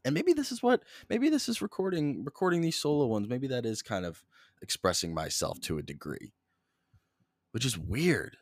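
A young man hosting a solo podcast, rambling in a flat monotone, swearing constantly and jumping between topics, close to the mic. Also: medium pitch, normal speed, no echo. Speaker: podcast host.

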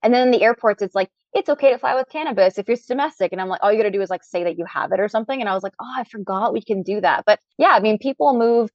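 Fast-talking woman scientist guest. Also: mid-range voice, a little mellow, dry recording, muffled recording. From Trinidad, raised in Canada. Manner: chatty, lots of "like" fillers. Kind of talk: casual podcast interview.

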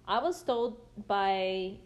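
A woman's voice: medium pitch, lively intonation, drawn-out words, a little mellow, lo-fi sound. A woman in her twenties talking casually with a friend in a playful, laughing way. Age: 20s. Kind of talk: casual chat between friends.

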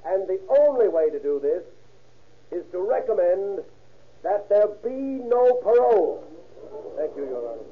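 An actor playing an earnest prosecutor who addresses a judge in formal, emphatic oratory in an old radio drama. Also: male voice, high voice, sing-song, unhurried, full character voice. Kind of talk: old-time radio courtroom drama acting. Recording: tinny, band-limited old radio recording.

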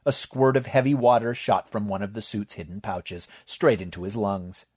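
A man reading fiction aloud as narrator, with expressive storytelling. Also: on the low side, moderate pace, breathy edge, dry recording, studio quality.

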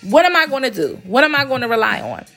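Woman, stuffy congested voice, slightly high pitch, casual podcast chat, emphatic repeats, faint background music. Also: sing-song, sharp tone. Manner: chatty and emphatic, repeats phrases, quick asides. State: congested, recovering from illness. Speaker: podcast host, graphic design company owner. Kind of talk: casual solo podcast talk.

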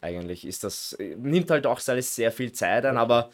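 A man's voice: mid-range voice, lively intonation, moderate pace, a little hiss or hum. A man in his late twenties from Vienna with a Viennese accent, chatting casually as an interview guest. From Vienna, Austria. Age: late 20s. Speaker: American football player, interview guest. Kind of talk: casual chat.

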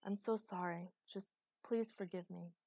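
A woman's voice: fairly low pitch, steady tone, a little slow, mellow, dry recording. A woman voicing a despairing, self-loathing male lover in a fantasy audiobook, apologetic and pleading. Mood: remorseful pleading. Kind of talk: audiobook character acting.

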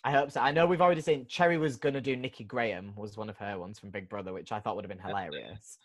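A man hosting a casual podcast chat, animated and eager, laughing often as he talks. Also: on the low side, fast. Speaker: podcast host.

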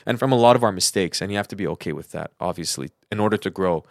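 A man's voice: low-pitched, quick pace, creaky, no room sound, clean studio sound. A man co-hosting a podcast in casual, rambling conversation, repeating words for emphasis. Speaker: podcast co-host. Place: living in Los Angeles.

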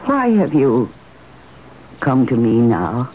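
An actress playing a lonely, timid, dreamy suburban wife who yearns for romance, with hesitant, emotional phrasing in an old-time radio drama. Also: female voice, rumbling low, wildly swooping pitch, slow, exaggerated voice. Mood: dreamy, yearning. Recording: muffled, noisy old radio broadcast.

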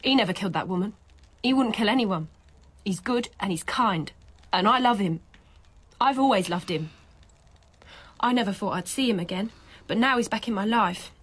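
A woman's voice: medium pitch, expressive, normal speed, pauses often, husky. An actress playing a defiant, obsessively lovesick young working-class woman in a British radio drama, blunt, colloquial and insistent.

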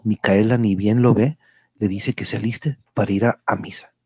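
A man with a husky voice narrating a scripted radio drama as a storyteller. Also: fairly low pitch, steady tone, moderate pace, a little mellow, no echo, clean studio sound.

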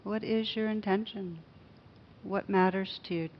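A woman leading a guided meditation as a teacher, speaking gently and unhurriedly with pauses. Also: mid-range voice, fairly even intonation, highs cut off, slight background noise.

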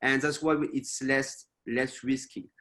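A man with a French accent, a guest speaker on a webinar over a video call, halting with many fillers and restarts. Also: mid-range voice, little pitch movement, fairly bright tone. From France. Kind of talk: presenting to a webinar.

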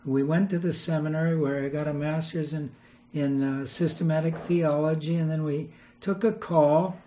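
A man's voice: a little high, steady tone, moderate pace, mellow, slight background noise, low-quality recording. An older man, a retired missionary, telling rambling anecdotes in an interview and chuckling as he goes. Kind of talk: storytelling interview.

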